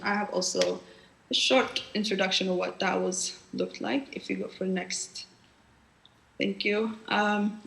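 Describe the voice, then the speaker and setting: A woman presenting an institution's exhibition program at a panel, often pausing with fillers and restarting words. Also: mid-range voice, a bit bright, roomy sound, low-quality recording. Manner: hesitant, frequent fillers and restarts.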